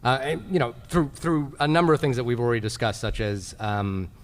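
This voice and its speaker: A man speaking casually on a live film podcast panel, halting and repeating words as he thinks. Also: mid-range voice, expressive. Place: large convention panel room. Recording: echoey hall PA, live recording.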